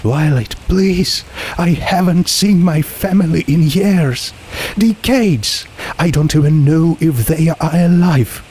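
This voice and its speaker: A man's voice: on the high side, takes it slowly, dark tone, a little hiss or hum, voice pushed up. A man voicing a desperate, tearful teenage girl who pleads emotionally in a dramatic story reading. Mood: desperate pleading.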